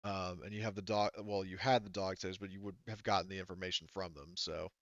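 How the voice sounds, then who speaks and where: A man explaining tabletop game mechanics as game master, in a halting, casual and mildly sweary way. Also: low voice, level tone, dry recording, muffled recording.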